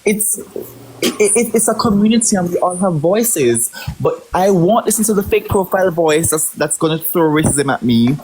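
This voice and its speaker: A man's voice: high voice, expressive, normal speed, a little mellow, breathy edge, rough recording. A man with a Jamaican accent, hosting a livestream and answering critics with emphatic, repeated phrasing. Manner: emphatic, repeats words when stressing a point. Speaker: livestream host. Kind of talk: heated livestream discussion.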